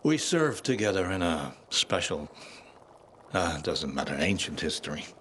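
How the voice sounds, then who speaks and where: An actor playing a cynical, world-weary middle-aged ex-soldier in a game cutscene. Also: male voice, deep voice, big pitch swings, a little slow, a bit bright, slight background noise, exaggerated voice. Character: jaded, gruff middle-aged male ex-soldier. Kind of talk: video game cutscene voice acting.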